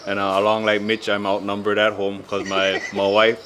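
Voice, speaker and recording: A man, speaking haltingly and reaching for words. His voice sits very low. A faint room ambience runs behind him. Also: monotone, fairly bright tone, a little hiss or hum. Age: 40s. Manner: halting, with many fillers. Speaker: father and language student. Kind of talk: casual interview. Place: classroom after class.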